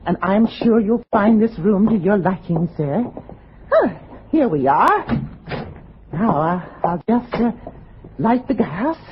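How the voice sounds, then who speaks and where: An actress playing an eager, deferential landlady, nervous and anxious to please, in 1940s radio drama style. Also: medium pitch. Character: eager, deferential, flustered working-class landlady wife. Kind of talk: 1940s radio suspense acting. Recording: muffled, noisy 1940s radio recording.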